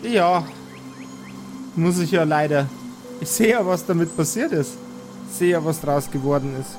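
A man's voice: fairly high pitch, normal speed, music in the background, close to an everyday voice. A man voicing a doting, scolding dwarf mother with a folksy Bavarian accent in an improvised audio roleplay. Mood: resigned.

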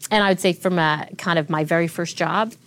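A woman in her forties, a tech executive being interviewed, telling stories fluently and with lively restarts. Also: on the low side, fairly quick. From Canada. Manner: fluent, animated storytelling with frequent restarts. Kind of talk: interview conversation.

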